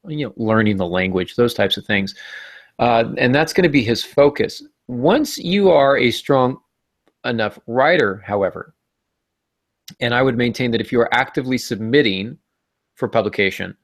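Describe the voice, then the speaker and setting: A man's voice: fairly low pitch, dry recording. A middle-aged man hosting a podcast in a casual, joking, tongue-in-cheek way. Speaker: podcast host.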